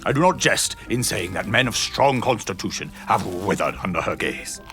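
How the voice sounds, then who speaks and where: A man's voice: fairly low pitch, animated, normal speed, music in the background, close to an everyday voice. An actor playing a pompous, blustering old British statesman in an audio drama, boastful yet kindly, with grand oratorical phrasing. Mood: pompous, courtly. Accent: British English.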